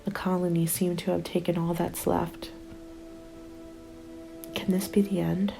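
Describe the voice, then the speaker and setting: A woman playing a weary, despairing zombie apocalypse survivor in a scripted ASMR roleplay, hushed and often slipping into a whisper. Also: fairly low pitch, level tone, measured pace, gravelly. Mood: bleak, despairing. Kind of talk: ASMR roleplay, scripted first-person narration. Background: soft music bed.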